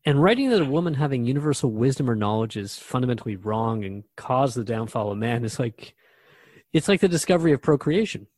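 A man's voice: medium pitch, expressive, fairly quick, darkish tone, dry recording. A man acting as a radio host in a conversational drama, talking in an inquisitive, reflective way.

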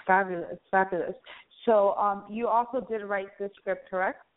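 A woman's voice: medium pitch, fairly even intonation, moderate pace. A woman hosting a casual, conversational interview, heard over a tinny phone-style line. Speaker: interviewer, show host.

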